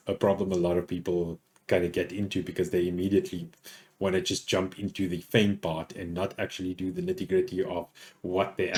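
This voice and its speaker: A man with a deep, gruff voice giving casual advice on content creation in a rambling way, full of restarts. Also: a little mellow. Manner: rambling, many restarts and fillers. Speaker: podcast host.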